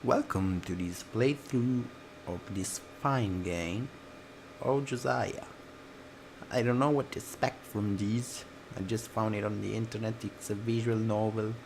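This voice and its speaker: A man hosting a game playthrough, reading a visual novel aloud like a dramatic storyteller and sometimes dropping to a breathy hush. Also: fairly low pitch, unhurried, a little hiss or hum, low-quality recording. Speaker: let's play host. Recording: music playing loudly behind the voice. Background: game music.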